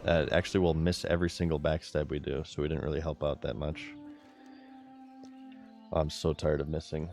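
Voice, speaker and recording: A man's voice: extremely low pitch, flat delivery, a little fast, gravelly, pauses often, darkish tone, soft background music. A man streaming a video game, chatting casually to his viewers in a giggly, laid-back way.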